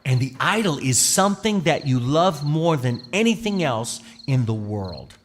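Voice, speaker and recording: Man playing a slow, animated storytelling father. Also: mid-range voice, slight background noise. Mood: patient, serious. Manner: deliberate, explanatory storytelling. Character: patient Israelite father, gentle but firm, telling a bedtime story. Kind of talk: children's church puppet show acting. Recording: long hall reverb, music underneath.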